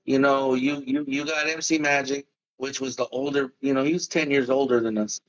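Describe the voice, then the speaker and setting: A man's voice: mid-range voice, fairly even intonation, brisk, highs cut off. Laid-back man chatting casually. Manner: laid-back, talks in loose run-on sentences with "man" and "bro". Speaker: musician guest. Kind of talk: casual interview chat.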